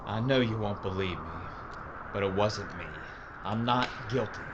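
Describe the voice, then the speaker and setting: An actor playing a wry Old West gunfighter in an audio drama, with a sardonic, deliberate manner. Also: male voice, fairly low pitch, muffled recording, natural voice. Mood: defensive. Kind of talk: Western audio drama acting. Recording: heavy background noise.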